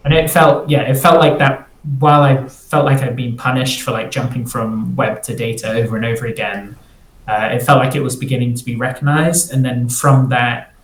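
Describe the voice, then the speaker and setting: A man who grew up in Singapore, a freelance developer, talking casually in an interview and rambling with many restarts. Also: medium pitch. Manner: rambling, restarts often, fills with "like". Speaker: guest, freelance front-end developer. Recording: roomy echo, faint ambient noise.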